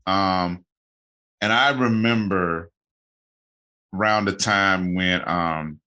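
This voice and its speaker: Male podcast host, casual rambler, low pitch, bright tone. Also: takes it slowly, clear, resonant tone. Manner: rambling, many restarts and fillers. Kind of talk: casual interview chat.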